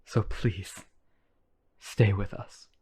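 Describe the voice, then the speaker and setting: A man's voice: lowish voice, steady tone, drawn-out words, darkish tone, slightly rough, exaggerated voice. A man playing a lonely, obsessive vampire brother in a boyfriend roleplay audio drama, earnest and coaxing. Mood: pleading devotion.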